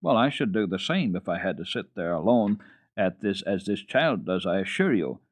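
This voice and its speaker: A man voicing a kindly, brisk old grandmother in a storybook reading, warm, amused and emphatic. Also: sits low, very animated. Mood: firm, brisk. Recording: dull, roomy old radio recording.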